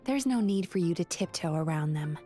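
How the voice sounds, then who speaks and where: An actress playing a composed, earnest young woman therapist in an anime video game, calm, polite and reflective. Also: fairly low pitch, brisk, soft background music, lightly acted.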